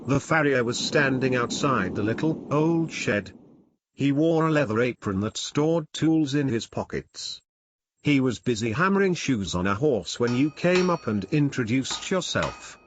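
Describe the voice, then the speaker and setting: A man's voice: medium pitch, lively intonation, a little slow, a little hiss or hum. A man reading a scripted story for children as its narrator.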